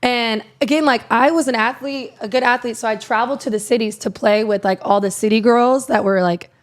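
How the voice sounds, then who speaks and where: A young woman from Iowa with a raspy voice, chatting casually as a podcast guest, full of filler words. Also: on the high side, fairly quick. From Iowa, USA. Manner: rambling, lots of "like" fillers. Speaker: guest, country singer. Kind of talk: casual interview chat.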